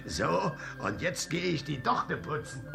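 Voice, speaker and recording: This is a cheeky, mock-dignified manservant in a period radio comedy. The voice sits fairly high, swoops extravagantly and creaks, full of impudent politeness. Loud music is part of the recording. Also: male voice, big pitch swings, measured pace, full character voice. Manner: impudent, exaggeratedly polite back-talk. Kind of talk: German radio play comic acting.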